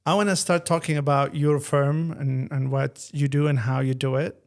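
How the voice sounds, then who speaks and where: A man hosts the interview with a gravelly, flat-toned voice. He asks his questions in a rambling, halting way. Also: fairly high pitch. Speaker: interview host.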